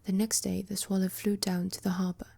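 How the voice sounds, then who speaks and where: A woman whispering a fairy tale aloud, in a slow, even storytelling manner. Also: medium pitch, creaky, slightly rough. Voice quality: whispering throughout. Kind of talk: whispered bedtime story reading.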